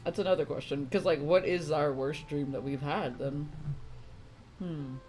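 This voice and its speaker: A woman's voice: low voice, normal speed, a little mellow, faint music behind. A woman casually reacting to a video game as a streamer, chatty and frank, with a bit of swearing.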